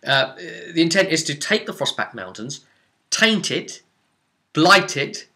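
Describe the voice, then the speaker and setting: A man's voice: a little high, big pitch swings, unhurried, sharp tone. A man recounting a tabletop fantasy game as game master, telling it like a story with asides to the listener. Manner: storytelling with rhetorical asides to the audience.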